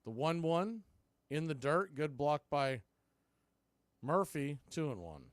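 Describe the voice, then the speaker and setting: A man calling a baseball game live as a play-by-play announcer, mixing clipped pitch calls with folksy, casual asides. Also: lively intonation, slow pace, dry recording. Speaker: baseball play-by-play announcer for a fan radio stream.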